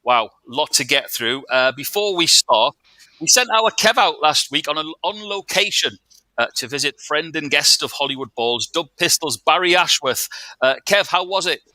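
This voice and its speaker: Man, show host, very bright voice, dry close sound, upbeat, quick intros. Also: a little high, animated, dry recording, low-quality recording. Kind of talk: lively show hosting.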